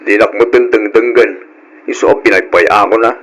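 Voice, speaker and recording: A man's voice: fairly low pitch, normal speed, a bit bright, natural voice, poor audio. A man playing a worried, responsible older brother with an Ilocano accent in a radio drama, earnest and admonishing. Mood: troubled, apologetic. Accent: Filipino, Ilocano.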